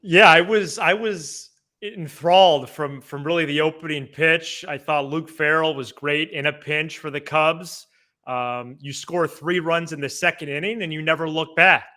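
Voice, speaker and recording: A man with a bright, forward voice on the higher side co-hosts a sports podcast. His casual commentary comes out haltingly, with words repeated as he gathers the next thought.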